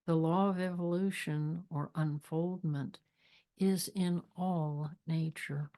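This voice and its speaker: Slow, low-pitched woman leading meditation. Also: fairly even intonation, warm tone. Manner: measured, deliberate, with long pauses. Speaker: meditation leader, spiritual minister. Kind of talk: guided meditation with devotional readings.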